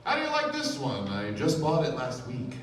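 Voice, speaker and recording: A man's voice: medium pitch, wildly swooping pitch, moderate pace, fairly bright tone. A man playing a blustering, suspicious rich husband in a radio play, brusque and scornful. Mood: domineering. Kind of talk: stage radio play acting. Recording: echoey stage from far off, clear noise.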